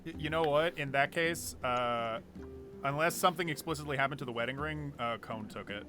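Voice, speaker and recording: A man's voice: medium pitch, fairly quick, over music. A man playing a tabletop role-playing game, bantering casually with the group.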